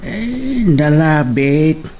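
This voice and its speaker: A man playing a gentle father counselling his child in an Ilocano drama. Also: a little high, very animated, very slow, a little hiss or hum, exaggerated voice. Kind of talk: Ilocano radio-style drama acting.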